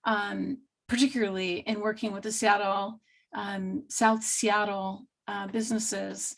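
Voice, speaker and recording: A woman's voice: medium pitch, level tone, vocal fry. A woman who organizes community business support, giving a rambling introduction full of restarts on a panel video call. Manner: rambling, restarts a lot, speaks fast.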